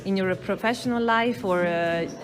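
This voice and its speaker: A woman interviewer asking prompting, clarifying questions in a relaxed conversation. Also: mid-range voice, normal speed, crowd noise. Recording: music playing underneath.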